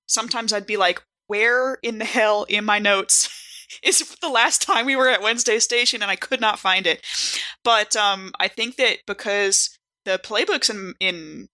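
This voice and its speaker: A woman's voice: medium pitch, bright, forceful tone. A woman chatting casually as a cast member of a gaming podcast. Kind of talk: casual chat.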